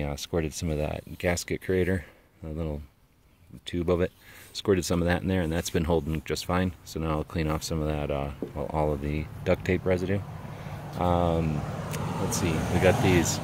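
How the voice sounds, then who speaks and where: A man giving a relaxed, rambling walkaround tour of his van outdoors, talking into a handheld camera. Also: low voice. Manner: relaxed, rambling, frequent uh fillers. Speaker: vlogger. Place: outdoors in a mountaintop parking lot beside a van. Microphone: handheld action camera. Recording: outdoor camera audio with clear background noise.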